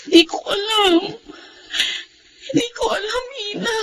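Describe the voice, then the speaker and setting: Lady acting as meek pregnant daughter in a drama, high voice, pleading and hesitant, bit of noise. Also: unhurried. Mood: desperate, tearful. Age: 30s. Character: distressed, pregnant young woman, meek and pleading. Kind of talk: Filipino audio melodrama acting. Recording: faint background noise.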